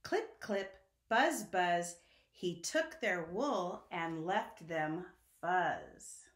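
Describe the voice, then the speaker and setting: A woman reads a children's story in a lively, swooping, sing-song way. She takes her time and speaks directly to young listeners, in an echoey room. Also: lowish voice, very slow. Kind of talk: children's picture-book read-aloud. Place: indoor room at home.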